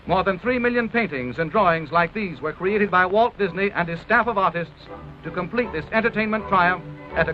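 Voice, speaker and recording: An American man narrating a 1930s promotional film in a formal, grandiose announcer style from a script. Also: sits high. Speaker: documentary narrator. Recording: dull vintage 1930s film soundtrack, music. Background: orchestral music.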